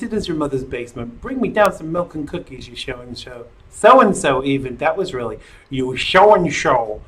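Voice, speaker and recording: A man's voice: fairly high pitch, fairly bright tone. A middle-aged man hosting a live-stream talk show, chatting in a rambling, jokey way with lots of fillers.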